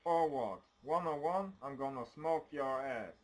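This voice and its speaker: A man's voice: mid-range voice, a little slow, lightly acted. A man acts out a big, menacing father in an amateur gangland radio play. He is aggressive and threatening, out for revenge.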